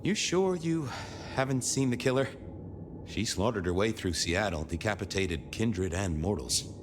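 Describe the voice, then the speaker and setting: A man's voice: lowish voice, expressive, unhurried, background noise. A man voicing a glib, theatrical vampire detective in a video game, wry and politely probing. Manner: wry, hesitant, swooping politeness. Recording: echoing reverberant hall, background music.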